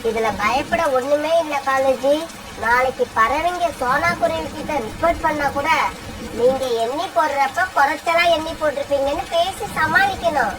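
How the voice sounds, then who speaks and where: A woman's voice: high-pitched, moderate pace, lightly acted. A woman voicing a scheming, nagging female bird in a cartoon story. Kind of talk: dubbed cartoon dialogue. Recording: clearly noisy recording.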